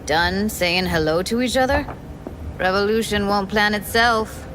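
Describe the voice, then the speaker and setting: A woman's voice: medium pitch, unhurried, background noise, lightly acted. An actress playing a blunt, weary young woman tech rebel in a video game, speaking in terse, matter-of-fact phrases. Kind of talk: cinematic game dialogue.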